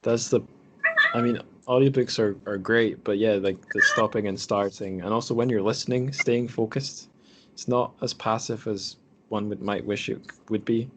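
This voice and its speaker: A man's voice: fairly low pitch, steady tone, normal speed, noisy background. A man hosting a podcast in casual group conversation, talking in a rambling, self-deprecating way. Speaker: podcast host and interviewer.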